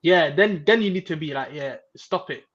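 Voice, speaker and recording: A man with a high voice rambles in casual football talk, full of fillers. The recording sounds dull and lo-fi. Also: lively intonation, moderate pace, a bit bright. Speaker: panel guest. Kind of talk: casual chat.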